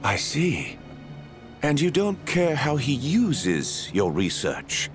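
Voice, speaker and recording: A man voicing a cold, dismissive villain who speaks in a curt, measured way. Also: medium pitch, huge pitch swings, soft background music.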